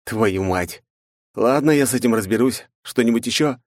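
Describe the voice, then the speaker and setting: A man's voice: mid-range voice, moderate pace, darkish tone, dry recording, clean studio sound, pitched above the performer's own voice, lightly acted. A male narrator voicing his first-person hero, a crude, irritable young man who swears. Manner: swearing, gruff, in pain. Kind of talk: audiobook character voice.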